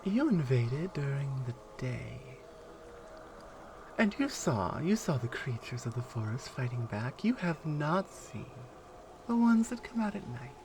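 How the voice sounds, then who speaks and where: A woman playing a stern, proud Amazonian warrior with a captive, in a taunting, deliberate ASMR roleplay. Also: a bass voice, very animated, noisy background, exaggerated voice. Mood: ominous. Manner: taunting, deliberate, hushed at times.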